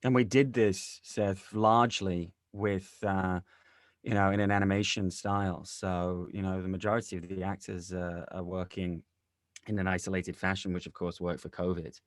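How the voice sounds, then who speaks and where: Man, deep pitch, dry sound, halting measured delivery, interviewed director. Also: level tone, dry recording. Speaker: audio drama director being interviewed. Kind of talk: conversational interview talk.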